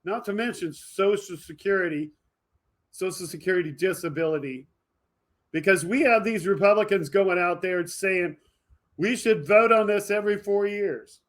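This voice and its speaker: A man hosting a video podcast, delivering an impassioned, emphatic political monologue straight to his viewers, swinging between forceful outbursts and lower asides. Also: moderate pace. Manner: emphatic, fired up, addressing viewers directly. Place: indoor home studio with green screen.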